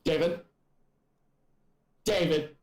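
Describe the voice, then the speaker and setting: A young man chatting casually as a livestream guest, reacting in an excitable, exclamatory way. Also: fairly high pitch, drawn-out words, echoey room.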